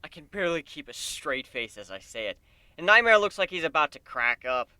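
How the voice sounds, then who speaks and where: A man's voice: on the high side, big pitch swings, a little fast, pushed and bright, clear, resonant tone, no echo. A man giving a dramatic first-person reading of a story as its narrator.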